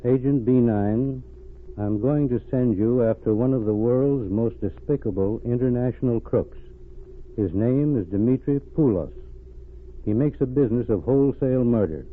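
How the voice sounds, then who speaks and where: A stern chief of secret agents acted by a man gives orders with grave authority and deliberate phrasing. His middle-pitched voice comes through a thin, tinny old radio drama recording with faint noise. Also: close to an everyday voice.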